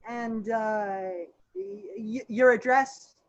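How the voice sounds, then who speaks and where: A woman playing a slow, bumbling police sergeant in a radio play, hesitant and mumbling. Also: a little high, highs cut off. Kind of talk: stage radio play acting.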